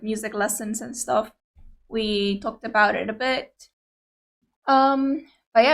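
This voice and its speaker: A young woman with a Greek Cypriot accent, whose first language is Greek, chatting casually and rambling to viewers as a reaction video host. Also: on the high side. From Cyprus. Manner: chatty, rambling, hesitant with many fillers.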